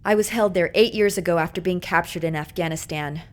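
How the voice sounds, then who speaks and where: A woman's voice: fairly low pitch, fairly quick, natural voice. An actress playing a grave, determined woman secret agent in a video game cutscene.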